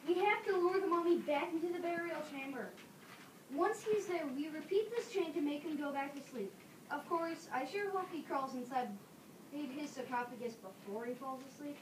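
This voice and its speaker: A woman's voice: sits high, moderate pace, close to an everyday voice. A young woman playing a resourceful, confident schoolboy in readers theater, with a plain, earnest delivery. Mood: excited. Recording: distant echoey room, clear background noise.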